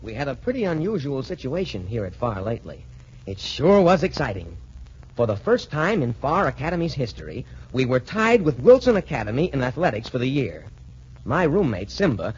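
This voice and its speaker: An actor playing an eager, modest teenage military academy cadet in a 1940s juvenile radio serial, earnest and boyish. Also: male voice, medium pitch, sing-song, brisk, darkish tone, husky. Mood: excited, narrating. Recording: muffled 1940s radio recording.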